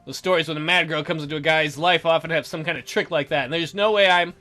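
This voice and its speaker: A man's voice: on the high side, fairly even intonation, fast talker, bright, forceful tone, faint music behind. A man reading a visual novel aloud as a let's play host, in a theatrical, jokey way with his own ad-libbed commentary.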